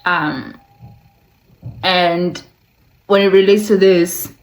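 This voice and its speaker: A woman with a slightly low voice presents a casual video essay as its host. She talks conversationally, drops in playful asides and repeats words for emphasis. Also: steady tone, very slow, slight background noise, rough recording. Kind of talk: casual video essay with passages read aloud.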